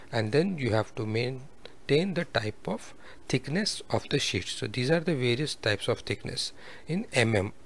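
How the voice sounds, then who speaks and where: A man's voice: medium pitch, a little hiss or hum. A man giving a step-by-step technical tutorial over a screen recording, explaining methodically with worked examples. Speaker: instructor.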